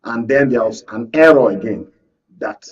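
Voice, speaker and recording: Male Nigerian political analyst, husky voice, insistent panel debater, dull off-mic studio sound. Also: mid-range voice, moderate pace, distant mic. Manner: insistent, holds the floor, repeats phrases. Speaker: panel guest, media analyst. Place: radio and TV studio.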